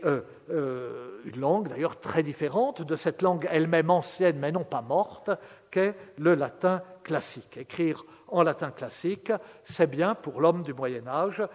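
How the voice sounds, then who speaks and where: A man giving an academic lecture, measured and pausing often with hesitations. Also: a little high, long reverb tail.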